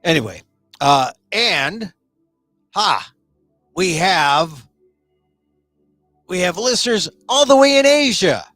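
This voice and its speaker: Male talk-show host, middle-aged, rambling and chatty, slightly high voice, animated. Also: takes it slowly, long pauses, dry recording, soft background music. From United States. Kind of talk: casual solo talk show.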